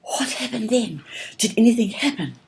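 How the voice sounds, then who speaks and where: A woman playing an eager, curious young female listener in a storybook, with breathy questioning. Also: a little high, expressive, normal speed, a little mellow, pitched above the performer's own voice, exaggerated voice. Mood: excited, impatient. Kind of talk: storybook character acting.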